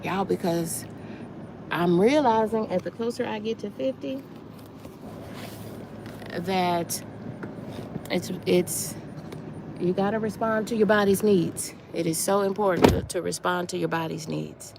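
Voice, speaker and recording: A woman in her forties chatting casually on a livestream while out walking, repeating herself warmly and calling her viewers y'all. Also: mid-range voice, a little slow, gravelly, long pauses. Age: 40s. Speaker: livestream host. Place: outdoors on a walk, wind noise. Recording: faint wind noise.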